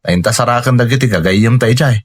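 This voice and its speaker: A man's voice: medium pitch, fairly quick, slightly rough, lightly acted. A Filipino man playing a caring, teasing friend and fellow overseas worker in a radio drama. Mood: teasing, coaxing.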